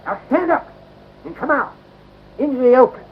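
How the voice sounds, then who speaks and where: An actor playing a scrappy old backwoods hermit with a creaky voice and a folksy, rambling rural American drawl in an old-time radio drama. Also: male voice, extremely high pitch, animated, moderate pace. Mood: wary, shouting. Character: scrappy old backwoods hermit man, wary, boastful, loyal. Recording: muffled, hissy vintage radio recording.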